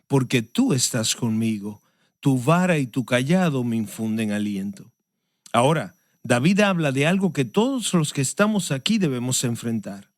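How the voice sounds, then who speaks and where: A man who is a pastor, giving a sermon and answering interview questions in an earnest, measured way. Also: mid-range voice. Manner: earnest, measured preaching delivery.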